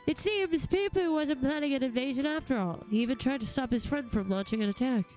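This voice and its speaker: A woman's voice: sits high, brisk, darkish tone, natural voice, rough recording. A woman voicing an earnest, self-important male investigator in a video game, with formal, dramatic, wordy speech. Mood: grave, grateful. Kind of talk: video game dialogue read-aloud. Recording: faint music behind.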